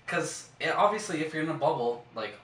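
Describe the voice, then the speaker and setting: A man's voice: on the high side, expressive, fairly quick, fairly bright tone. A man co-hosting a podcast in casual, slangy chat with some swearing. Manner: slangy, profane. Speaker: podcast co-host. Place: echoing room. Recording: roomy, distant mic, faint noise.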